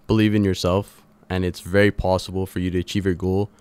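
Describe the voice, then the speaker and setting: A man's voice: sits low, little pitch movement, brisk, darkish tone, clear, resonant tone. A man speaking conversationally as an entrepreneur guest in a radio interview. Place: radio studio.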